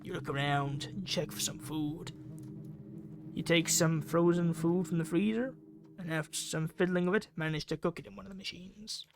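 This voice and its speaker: A man's voice: fairly high pitch, steady tone, normal speed, vocal fry. A man reading a visual novel game aloud as dramatic narration, acting out lines and thoughts. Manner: acts out characters' lines and thoughts.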